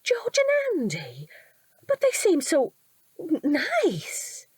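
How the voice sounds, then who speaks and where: A kindly, trusting British wife in an audio drama. She chats and gushes in a very lively, swooping voice at an unhurried pace. The sound is close and dry. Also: high-pitched, no echo, heavily acted. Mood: let down. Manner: chatty, gushing, expressive. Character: chatty, kindly, trusting wife of a police detective. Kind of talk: naturalistic audio drama acting.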